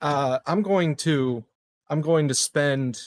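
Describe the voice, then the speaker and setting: A man playing a tabletop roleplaying game, chatting casually and hesitantly as he thinks out loud. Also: on the high side, slow pace, dry recording, studio quality.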